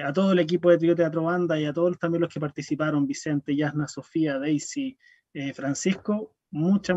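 Higher-pitched man, dull call audio. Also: dark tone, no echo. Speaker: event organizer closing a workshop. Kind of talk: announcements and thanks on a video call. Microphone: video call.

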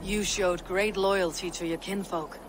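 A woman playing a stoic, commanding Viking warrior in a video game, speaking with measured authority. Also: fairly low pitch, fairly even intonation, over music, close to an everyday voice. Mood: solemn, commanding. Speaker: game protagonist. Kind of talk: video game cutscene acting. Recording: outdoor ambient noise behind.

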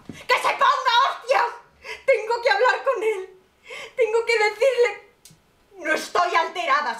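An actress from playing a poor, lonely, half-mad old woman who pleads slowly on the phone, turning between bitterness and tears. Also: high-pitched, bright, forceful tone, reverberant, distant mic. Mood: frantic, tearful. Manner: slow, pleading, swinging between bitter and tearful. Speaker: actress in a monologue. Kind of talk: stage monologue.

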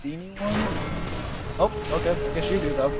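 A man's voice: fairly high pitch, normal speed. A man co-hosting a gaming show, giving casual, joking commentary during co-op play. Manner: playful, joking. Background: game audio and music.

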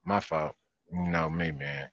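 Slow-talking easygoing man. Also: a bass voice, no room sound, highs cut off. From Houston, Texas. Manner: relaxed, easygoing, with fillers. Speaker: truck driver streamer. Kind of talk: casual chat.

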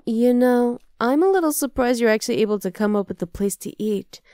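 A woman's voice: on the high side, fairly quick, mellow, clean tone, no echo, natural voice. Close, dry, teasing half-dragon girlfriend voiced by a woman. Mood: teasing, gentle. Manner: casual, coaxing, affectionate teasing. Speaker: ASMR roleplay voice actor. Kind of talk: ASMR roleplay acting.

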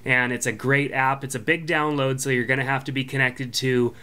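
A man's voice: medium pitch, a little fast, clean tone. A man hosting a podcast, casually walking through an app review with lots of ums and uhs. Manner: relaxed, filler-heavy, friendly. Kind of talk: casual product review voice-over.

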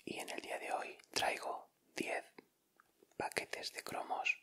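Man, Castilian Spanish accent, bright crisp whisper, ASMR host, informal. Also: slow pace. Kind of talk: ASMR, whispered unboxing commentary.

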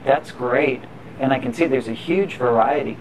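A man's voice: medium pitch, normal speed. A man asking conversational questions as an interviewer on a tour. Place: busy factory floor. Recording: busy factory background noise.

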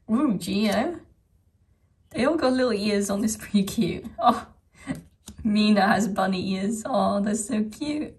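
A woman vlogger talking casually through an unboxing, her voice a bit croaky from a sore throat, soft-spoken and chatty. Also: mid-range voice, distant mic. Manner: soft-spoken, chatty, frequent small laughs.

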